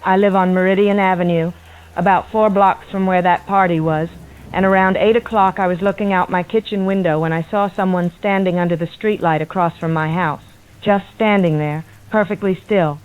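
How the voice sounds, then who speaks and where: A woman's voice: fairly low pitch, fairly even intonation, normal speed, smooth. A woman playing an unnerved, insistent eyewitness calling a radio show over a telephone line. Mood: uneasy. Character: unnerved married woman, watchful eyewitness, resolved to flee. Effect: telephone line filter. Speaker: radio phone-in caller. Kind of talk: radio horror drama. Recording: tinny narrow phone line.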